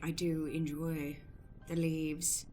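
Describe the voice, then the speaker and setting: A woman playing an awkward, pompous gentleman suitor in an improvised radio play. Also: sits low, level tone, soft background music. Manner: pompous, hesitant, deliberate. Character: Darwin Doobie, awkward pompous male suitor on a date.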